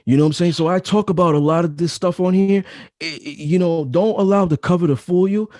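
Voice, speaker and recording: A man with a deep, mellow, dark voice talks as a guest in a casual interview. He is emphatic and slangy and repeats phrases for weight. His voice sounds dry and close. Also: a little fast, no room sound. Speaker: author and guest. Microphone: home mic setup.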